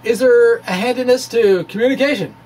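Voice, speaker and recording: A man's voice: extremely high pitch, darkish tone. Middle-aged male amateur science presenter, wry and stumbling, reading aloud with asides, slightly noisy room. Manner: halting, wry, quirky delivery with stammered restarts. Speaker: amateur physicist presenting a blog. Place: basement of a home.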